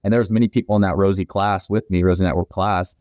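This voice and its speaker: A man's voice: sits low, steady tone, fast talker, darkish tone, no room sound. A man in his forties, a company founder, answering interview questions in a friendly, rambling way. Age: 40s.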